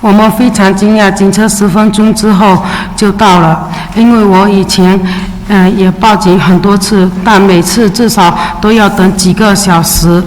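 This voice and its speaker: A Chinese immigrant woman, a native Mandarin speaker, reading her testimony at a public hearing in a steady, measured way with brief hesitations. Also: medium pitch. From China. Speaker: witness testifying at a city council hearing. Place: large public hearing chamber. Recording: echoey hearing room with faint ambience.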